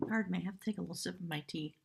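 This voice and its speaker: A woman with a hoarse voice giving a live spiritual tarot reading in measured, reverent short phrases. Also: on the low side, slight background noise. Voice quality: husky, breathy. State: hoarse from much talking. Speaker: tarot reader, live stream host.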